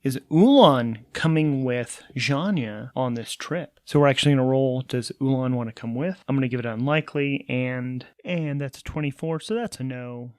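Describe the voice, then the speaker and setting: A man's voice: mid-range voice, normal speed, hardly any pauses, a little mellow, no room sound, studio quality. A man hosting and narrating a solo tabletop role-playing game in an informal way, as game master and player. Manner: informal, thinking aloud.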